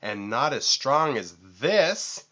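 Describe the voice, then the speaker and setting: A man voicing a vain, boastful storybook vulture with a squawky, screechy voice and theatrical, drawn-out emphasis. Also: medium pitch, sing-song, a bit bright, lo-fi sound, voice pushed up, exaggerated voice. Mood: boastful, smug.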